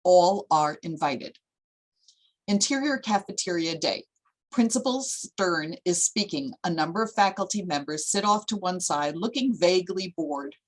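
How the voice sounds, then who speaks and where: A woman's voice: lowish voice, animated, a little slow, rough recording. A woman narrating stage directions for a screenplay table read in a scripted reading style.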